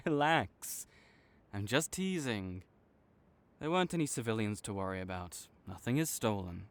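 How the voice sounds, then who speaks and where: A man playing an arrogant, teasing supervillain rival with a soft spot, speaking theatrically to the listener in an audio roleplay. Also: lowish voice, expressive, a little slow. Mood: playful, gloating. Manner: smug, teasing, theatrical with sighs. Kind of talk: dramatic roleplay monologue spoken to the listener.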